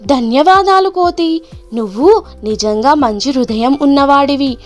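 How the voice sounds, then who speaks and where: A woman voicing a small, earnest bird in a children's storybook, polite and gentle in the way it explains and asks. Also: high voice, a little slow, faint music behind. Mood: grateful.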